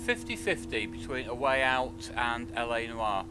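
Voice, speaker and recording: A man who streams games, chatting casually and in an animated way to his viewers. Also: medium pitch, moderate pace, over loud music. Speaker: game streamer. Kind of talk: casual livestream chat.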